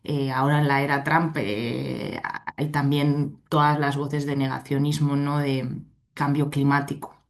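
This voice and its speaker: Low-voiced Spanish woman lecturing online. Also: flat delivery, measured pace. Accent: Spain Spanish. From Spain. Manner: chatty, keeps checking in with "¿no?" and "¿de acuerdo?". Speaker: invited speaker, legal expert. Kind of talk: online conference presentation. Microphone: computer mic on a video call.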